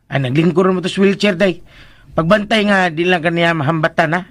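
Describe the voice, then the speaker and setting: An actor playing a jittery, lovestruck comic household helper in a radio drama, stammering and exclaiming. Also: male voice, sits high, a little fast, no room sound, close to an everyday voice. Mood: worried.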